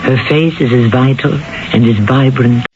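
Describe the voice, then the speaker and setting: A man's voice: medium pitch, normal speed, very noisy. Solemn man narrating muffled vintage radio drama. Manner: solemn, reflective. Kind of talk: 1970s radio scripted narration. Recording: muffled old radio broadcast with music.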